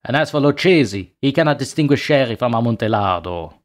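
A man's voice: mid-range voice, animated, brisk, natural voice. A man playing a drunken, boastful nobleman and wine connoisseur in a dramatic audiobook, with a theatrical, scoffing manner. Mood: scoffing, insistent.

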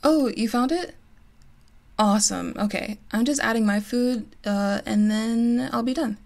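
A woman's voice: a little high, measured pace, vocal fry, darkish tone. A woman playing a teasing, competitive gamer best friend in a casual, giggly ASMR roleplay. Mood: relaxed, friendly. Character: playful, competitive gamer girl, listener's close best friend. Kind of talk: ASMR roleplay, casual one-sided conversation.

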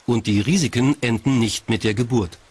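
A man's voice: mid-range voice, normal speed, slightly rough. A man reading scripted documentary narration as the narrator. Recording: music behind the voice. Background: background music.

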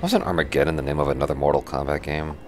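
A man's voice: very deep voice, steady tone, fast, over music. A man in his thirties giving casual reactions as a video host, speaking into a headset indoors. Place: small indoor streaming room. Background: loud game cutscene soundtrack.

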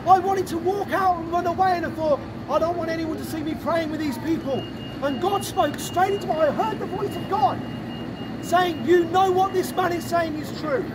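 A middle-aged man with a British accent preaches at full volume on a busy city street corner. His voice sits very high and keeps hammering the same lines home. Heavy traffic and a murmuring crowd press in around him. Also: recorded from a distance. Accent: British English. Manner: loud, proclaiming, repetitive. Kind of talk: open-air testimony preaching. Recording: loud traffic and crowd noise.

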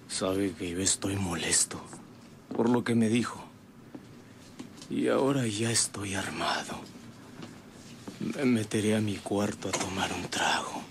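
An actor playing a resentful, hard-drinking ranch son, muttering to himself in a radio drama. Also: male voice, lowish voice. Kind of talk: radionovela acting, soliloquy. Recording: noisy background ambience.

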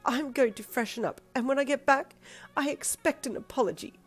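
A woman's voice: high-pitched, brisk, husky, faint music behind, voice pushed up. A woman voicing a petty, entitled woman diner who complains haughtily and draws out her words. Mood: offended.

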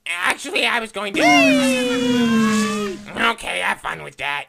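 A man voicing a quirky, exasperated alien martial arts master in an anime parody, with a creaky, sharp voice. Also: high-pitched, animated, measured pace, very noisy, heavily acted. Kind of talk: anime parody dub acting.

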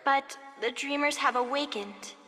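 An actress playing a fearful, eerie villain queen in a video game, anxious and drawn-out. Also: high voice, bright, forceful tone. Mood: anxious dread. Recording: echoing hall with long reverb.

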